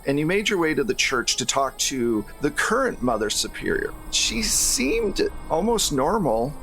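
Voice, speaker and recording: A man's voice: mid-range voice, fairly bright tone, low-quality recording. A man hosting a tabletop roleplaying game as game master, narrating in a casual, conversational way. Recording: faint background noise and ambience.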